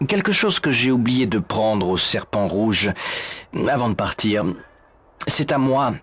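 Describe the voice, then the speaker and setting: Evasive male café manager, played by a man for a game dub: sardonic, hesitant, highly animated intonation. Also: unhurried, husky, full character voice. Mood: wary, bargaining. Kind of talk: video game fan dub acting. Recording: faint background noise.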